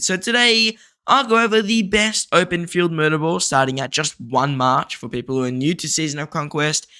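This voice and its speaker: A man's voice: fairly high pitch, bright, forceful tone, clear, resonant tone. A young man with a sore throat and a blocked nose, explaining game strategy in a chatty, recommending way. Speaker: game guide creator.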